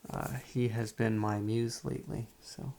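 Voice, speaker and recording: A man who makes ASMR videos, giving a soft-spoken, casual update to his viewers in a low-key, hesitant way. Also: little pitch movement, unhurried, gravelly, slight background noise.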